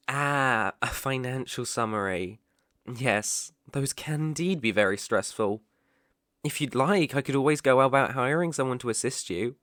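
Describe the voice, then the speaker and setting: A man plays a devoted British butler in an ASMR roleplay. He speaks in a mid-pitched male voice with formal, deferential phrasing and a softly attentive manner. The sound is close and dry, with no room around it. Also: dry recording. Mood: concerned, soothing. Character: polite, devoted young male butler, gently teasing.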